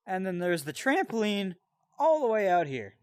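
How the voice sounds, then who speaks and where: A man casually telling a personal story in an animated, sweary way, often wandering off into side details. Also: sits high, normal speed, smooth, no echo, studio quality. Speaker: storyteller and co-host.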